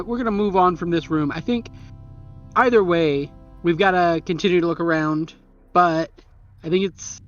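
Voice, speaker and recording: A man playing a tabletop roleplaying game, casually deliberating with halting phrases. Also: high-pitched. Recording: dull audio, faint music behind.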